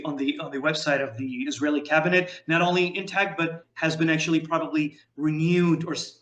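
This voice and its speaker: A man's voice: a little high, fairly bright tone, reverberant. A man speaking as a panel guest in an online discussion, with stammering starts and long, winding sentences.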